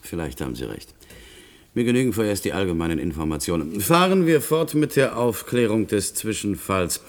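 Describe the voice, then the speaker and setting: A formal, sceptical investigator in a radio play. His lowish voice moves freely in pitch as he probes with dry courtesy. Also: big pitch swings, brisk. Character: sceptical, formal male investigator questioning officials. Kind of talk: German radio play acting.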